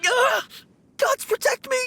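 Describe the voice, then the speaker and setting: A man's voice: very high-pitched, slow, creaky, sharp tone, exaggerated voice. A man voicing a timid, polite young apprentice undertaker in a video game, jittery and formal in his wording. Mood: panicked. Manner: stammering, pleading, formal wording. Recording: faint game music behind the voice.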